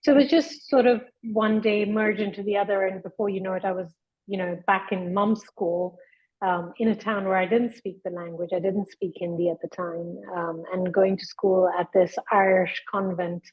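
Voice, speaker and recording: A woman in her fifties, from London by birth and raised in India, talks through her life with calm, measured reflection. Her voice has a slightly husky grain. The remote recording sounds dull and lo-fi. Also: medium pitch. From born in London, raised in India. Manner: measured, thoughtful, frequent ums. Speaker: guest, memoir author. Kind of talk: reflective personal storytelling.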